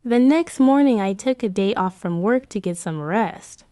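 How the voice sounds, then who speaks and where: A woman's voice: medium pitch, expressive, moderate pace, mellow, no room sound, studio quality, natural voice. A woman voicing a heartbroken young office worker who narrates her breakup in a romance comic dub. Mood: subdued. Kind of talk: scripted first-person comic dub narration. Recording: music behind the voice.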